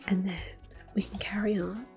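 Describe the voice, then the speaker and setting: A woman giving soft-spoken, often whispered ASMR commentary on a video game, in a gentle, hushed manner. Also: medium pitch, little pitch movement, moderate pace, soft background music. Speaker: ASMR gaming host.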